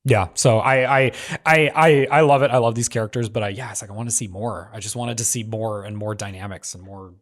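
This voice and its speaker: A man in a casual panel discussion, talking emphatically in halting phrases peppered with "like". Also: on the low side.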